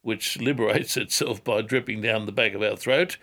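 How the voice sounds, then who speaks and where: An older man answering callers on a radio health show, measured and careful, often repeating words and hedging before giving advice. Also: lowish voice, sharp tone.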